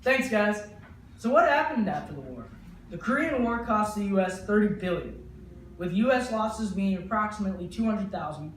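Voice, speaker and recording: Echoey, high-voiced young man anchoring a newscast. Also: animated, normal speed, slight background noise. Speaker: news anchor. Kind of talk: mock 1950s newscast presenting. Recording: echoey room with music behind.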